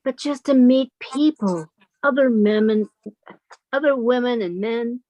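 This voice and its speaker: A woman's voice: a little high, unhurried, darkish tone, no echo, close to an everyday voice, rough recording. Plaintive woman acting a timid, sheltered spinster. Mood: hopeful, unsure. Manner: plaintive, hesitant, questioning. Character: sheltered, timid, wistful unmarried woman of thirty-five. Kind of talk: old-time radio drama acting.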